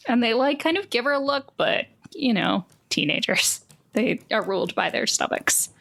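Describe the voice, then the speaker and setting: A woman's voice: a little high, big pitch swings, normal speed, vocal fry, a bit bright. A woman narrating a tabletop game as game master, improvising descriptions in a conversational way with casual asides.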